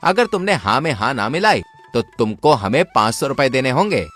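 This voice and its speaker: A man acts out a village trickster in a folk tale. He is theatrical and crafty, with a lively mid-range voice over soft music. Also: mid-range voice, brisk. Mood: crafty, assured. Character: swindler brother, village trickster and schemer. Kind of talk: Hindi folk tale dialogue.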